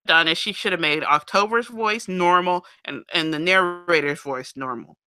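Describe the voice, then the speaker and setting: A woman with a somewhat nasal voice, co-hosting a live show, chatting in an animated way. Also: fairly low pitch, moderate pace, smooth. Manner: animated, laughs often. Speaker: podcast co-host. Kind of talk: casual chat about audiobooks.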